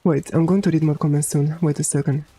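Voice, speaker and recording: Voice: mid-range voice, quick pace, husky, no echo. An androgynous-sounding streamer with a Romanian accent, chatting casually with viewers and swearing freely. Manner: relaxed, swears casually, many fillers. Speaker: game streamer. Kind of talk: casual chat while streaming a game.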